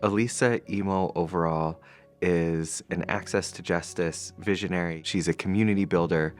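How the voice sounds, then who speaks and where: A man giving an admiring tribute to a colleague in an interview, with soft music behind him. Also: low-pitched, fairly even intonation, normal speed.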